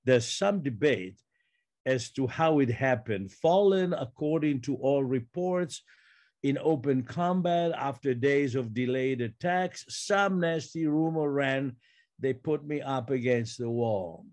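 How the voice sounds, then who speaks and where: An elderly man, introducing and reading his poetry in a halting, deliberate way. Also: medium pitch, animated, darkish tone, no echo. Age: 70s or older. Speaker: poet and curator. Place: book-lined study, indoors. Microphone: computer mic on video call.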